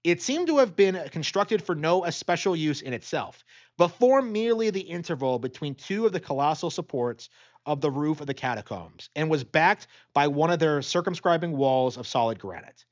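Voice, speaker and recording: A man's voice: fairly high pitch, expressive, normal speed, muffled recording. A man reading a gothic story as a dramatic audiobook narrator.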